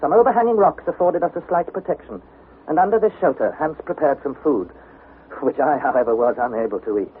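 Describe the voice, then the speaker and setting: A man's voice: a little high, lively intonation, brisk, phone-quality sound, close to an everyday voice. An actor playing an anxious young explorer in a vintage radio adventure, narrating his diary with theatrical intensity. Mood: weary, reflective. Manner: theatrical, intense, breathless delivery. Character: young male explorer, dramatic, anxious diarist. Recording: noisy, phone-thin vintage radio recording.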